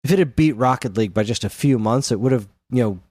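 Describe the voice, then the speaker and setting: A man's voice: mid-range voice, fast, a little mellow, dry recording, clean studio sound. A man co-hosting a podcast, chatting casually about games in an enthusiastic, rambling, informal way. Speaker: podcast co-host.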